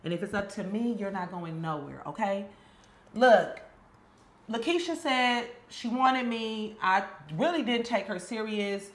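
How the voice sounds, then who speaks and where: A woman's voice: mid-range voice, roomy sound, close to an everyday voice. A woman playing a defensive young woman who insists she is innocent, in a comedy skit. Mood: pleading. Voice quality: quick, emphatic, slangy delivery. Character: defensive young woman accused by her best friend.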